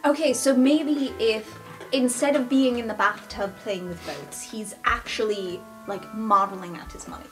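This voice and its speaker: A woman's voice: on the high side, normal speed. Woman improvising casually with music behind. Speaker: tabletop game player. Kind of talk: casual improvised character brainstorming. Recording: music bed under the voice. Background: background music.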